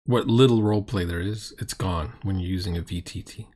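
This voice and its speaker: A man's voice: deep voice, brisk, a little mellow. A middle-aged man giving unscripted, exasperated and sarcastic commentary as the host of a reaction video. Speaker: reaction video host critiquing a role-playing session.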